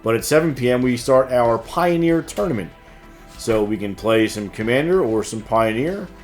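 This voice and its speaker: Male host, mid-pitched, casual and easygoing announcement read with hesitant banter, light music underneath. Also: animated, moderate pace, a little mellow. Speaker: host of a game shop news show.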